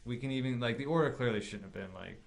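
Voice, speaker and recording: A man's voice: medium pitch, quick pace, vocal fry. A man co-hosting a gaming podcast, chatting casually with blunt opinions and plenty of swearing. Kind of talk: casual chat about video games.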